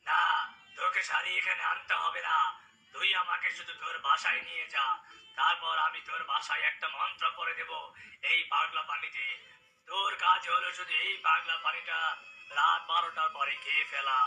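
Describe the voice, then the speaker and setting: A man's voice: fairly high pitch, flat delivery, normal speed, gravelly, bright, forceful tone, distant mic, faint music behind, exaggerated voice, low-quality recording. A man voicing a domineering, sly fake holy man in a cartoon, commanding and pompous. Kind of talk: cartoon character dialogue.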